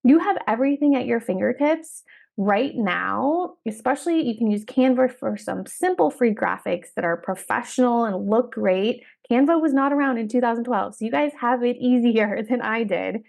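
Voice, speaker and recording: A woman in her thirties hosting a solo podcast, talking in a direct, upbeat coaching manner, her voice slightly hoarse from a cold. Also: a little high, animated, moderate pace, darkish tone. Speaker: podcast host and career coach trainer.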